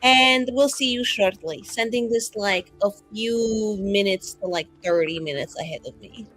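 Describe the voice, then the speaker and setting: A woman's voice: mid-range voice, unhurried, a bit bright, soft background music, poor audio. A woman playing a tabletop roleplaying game, casually improvising words for her character.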